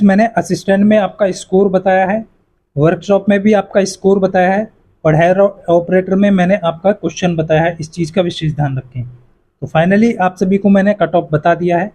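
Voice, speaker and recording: High, mellow-voiced man explaining exam cutoffs. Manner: repetitive, fills with "yahan par" and "jo hai"; addresses viewers as friends, reassuring. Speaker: exam coaching YouTuber. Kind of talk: casual explainer to students.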